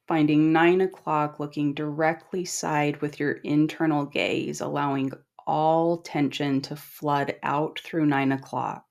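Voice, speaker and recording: A middle-aged woman leading a guided meditation in a calm, gentle, instructing manner with long pauses. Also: low voice, creaky. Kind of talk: guided body scan meditation.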